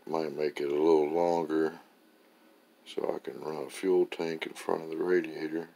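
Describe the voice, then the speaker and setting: A man's voice: rumbling low, little pitch movement, normal speed, vocal fry, mellow. A man giving a casual hands-on garage walkthrough as an amateur engine builder, plain-spoken and folksy with plenty of swearing. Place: indoor garage or workshop with concrete floor.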